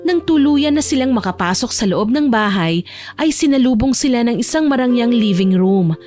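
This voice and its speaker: Higher-pitched woman narrating over music. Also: animated, normal speed, a little mellow. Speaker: audiobook narrator. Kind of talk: scripted dramatic story narration in Tagalog romance. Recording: background music under the voice.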